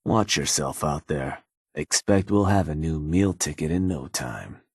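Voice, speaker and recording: An actor playing a sly, cynical gang advisor in a video game, with a husky, laid-back, slangy delivery and an undertone of menace. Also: male voice, measured pace, dry recording, studio quality, exaggerated voice. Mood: businesslike, encouraging. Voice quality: husky, low. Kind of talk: video game character dialogue.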